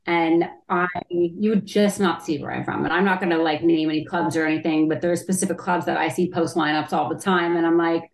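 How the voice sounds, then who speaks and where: A woman from Canada with a Canadian accent, a stand-up comedian chatting casually in an interview, with a loud voice and lots of filler words. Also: lowish voice, steady tone, a little fast, few pauses, echoey room, low-quality recording. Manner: chatty, many "like" fillers, trails off.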